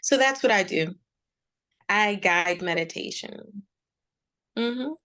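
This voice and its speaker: A woman in her forties speaking as a meditation teacher and interview guest, telling her story conversationally with reflective, halting pauses. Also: medium pitch, lively intonation, a bit bright. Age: 40s.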